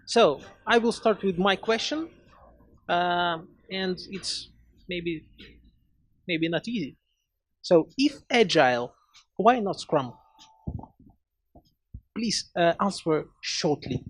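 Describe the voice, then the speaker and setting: Male panel moderator, high voice, slow and halting, handheld mic on a conference stage. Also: lively intonation, no echo. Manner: hesitant, halting, many restarts. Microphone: handheld microphone.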